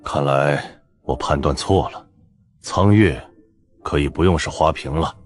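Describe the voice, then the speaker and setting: Low-voiced, curt male film director played by an actor. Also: measured pace. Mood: measured, authoritative. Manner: curt, commanding. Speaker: voice actor in an animated web-fiction drama. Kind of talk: dramatic character dialogue.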